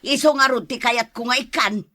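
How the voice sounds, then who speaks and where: A woman's voice: fairly high pitch, a little fast, sharp tone. A woman playing an elderly, grumbling grandmother in an Ilocano radio drama, plain-spoken and scolding, with an Ilocano accent. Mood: resentful. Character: elderly grandmother and mother, grumbling, partial to favorites.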